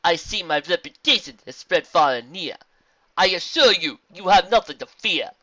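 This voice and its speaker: Lady doing a calm wise zebra sorceress, bright and formal, speaks in slow rhymes, slightly dull audio. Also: fairly low pitch, no echo, pitched above the performer's own voice. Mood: reassuring. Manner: deliberate, formal rhymed phrasing.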